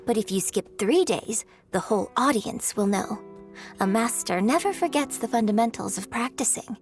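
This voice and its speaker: Refined young opera performer, video game dialogue, slightly high pitch, polite, elegant, curious, music behind. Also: female voice, lively intonation, moderate pace. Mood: serene, gracious. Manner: polite, formal, elegant phrasing. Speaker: game character voice.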